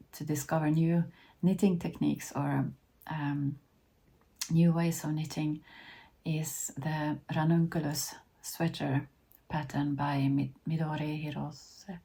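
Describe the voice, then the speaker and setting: A woman in her fifties from Sweden, whose first language is Finnish, talking casually to camera in a hesitant way with many soft restarts. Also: low voice, creaky, breathy edge. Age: 50s. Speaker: vlogger.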